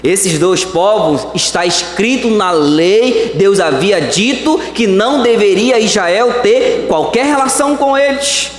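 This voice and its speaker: A Brazilian man preaching a sermon as pastor, swinging between slow emphatic words and quick phrases. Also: high-pitched, expressive. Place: church hall. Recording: echoey church hall.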